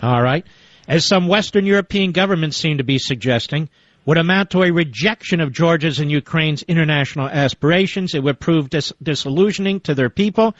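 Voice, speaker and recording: A man's voice: fairly high pitch, fairly quick, no echo. A man hosting a talk radio show, reading quotes aloud with sarcastic asides and interviewing a guest. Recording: dull lo-fi radio audio.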